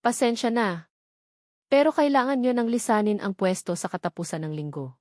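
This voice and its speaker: A woman's voice: on the high side, expressive, moderate pace, a little mellow, no echo, studio quality, natural voice. A woman narrator playing a serious, apologetic old male landlord in a story. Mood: apologetic, firm. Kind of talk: acted dialogue in story narration.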